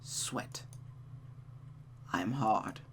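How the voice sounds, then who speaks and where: A reader voicing an anguished, brooding male lover from a visual novel in a slow, hushed, halting delivery, over a noisy recording. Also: mid-range voice, pitched below the performer's own voice.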